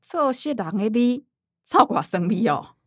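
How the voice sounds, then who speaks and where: A woman's voice: on the high side, very animated, a little slow, a bit bright, breathy edge, dry recording, clean studio sound, exaggerated voice. A woman playing a middle-aged, self-righteous, image-conscious daughter in a radio drama, earnest and scolding, with a Taiwanese accent. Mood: curious, skeptical.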